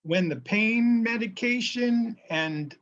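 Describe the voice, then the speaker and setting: A minister with a New Jersey accent giving a sermon, speaking slowly and carefully, sometimes restarting a word as he recovers from a brain injury. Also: very high-pitched, expressive, a bit bright, dull audio.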